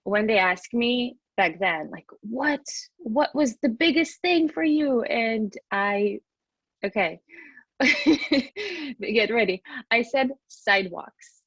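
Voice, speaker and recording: A woman's voice: on the high side, expressive, takes it slowly, a bit bright, slightly rough, no echo, dull audio. A woman in her thirties with a Russian accent, telling her story casually and expressively as a podcast guest, in a chatty way. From Western Siberia, Russia.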